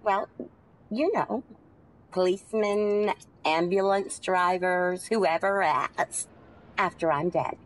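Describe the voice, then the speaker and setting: An actress playing a polite, serene and eccentric taxi passenger, gentle and hesitant, in old-time radio style. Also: female voice, on the low side, slow pace, rough recording. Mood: calm.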